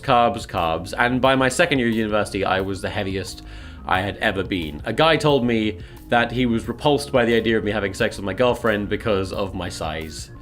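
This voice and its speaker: Man, twenties, British accent, average pitch, personal storytelling, video creator narration, background music. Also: a bit bright. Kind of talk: confessional personal storytelling, semi-scripted narration.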